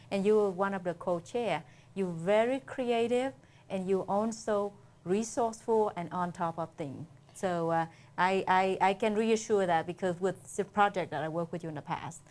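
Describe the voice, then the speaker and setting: A woman hosts a community interview and gives announcements, speaking haltingly with repeated words. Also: medium pitch, darkish tone. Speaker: interviewer and host.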